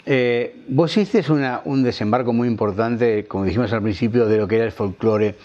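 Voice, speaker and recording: A man's voice: mid-range voice, normal speed, mellow, dull audio. A man with a Rioplatense accent conducting a conversational interview, reflective in how he frames his questions. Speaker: interviewer on a literary television programme.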